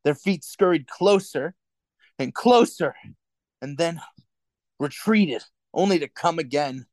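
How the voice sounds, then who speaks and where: A man's voice: a little high, slow pace, no room sound. A man playing an anxious, earnest lover in a radio drama, with a hesitant way of talking. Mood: haunted. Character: Winston, anxious, earnest man in forbidden love.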